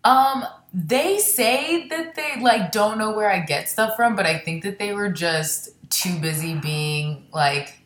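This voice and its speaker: A young woman stand-up comedian from Florida, chatting casually and rambling with quick self-deprecating asides. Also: mid-range voice, lively intonation, a bit bright, reverberant, slight background noise. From Florida, born in Baltimore. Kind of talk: casual interview chat.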